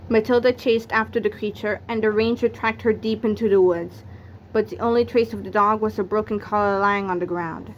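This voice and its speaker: A woman's voice: mid-range voice, muffled recording, slight background noise. A woman narrating a read-aloud of a children's comic novel, acting out its exclamations and sound effects. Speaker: book narrator.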